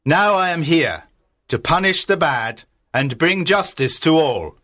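A stately, weary king with a formal way of speaking, as a male narrator puts him on. His voice sits higher than a plain reading voice and swoops widely through each line, delivered slowly and with dignity. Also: expressive, bright, forceful tone, heavily acted. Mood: solemn regal. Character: weary noble male king disguised as knight.

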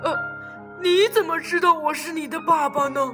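A woman voicing a gruff male tyrannosaurus who turns into a tender father in a children's audio story. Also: very high-pitched, steady tone, normal speed. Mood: bewildered. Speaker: voice actor. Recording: reverberant with music behind.

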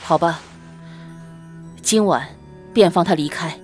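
Casting: young husband for costume radio play, female actor, lowish voice, courteous, calm and tender. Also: slow pace, faint music behind. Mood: calm, dutiful. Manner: composed, polite. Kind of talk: Chinese costume audio drama acting.

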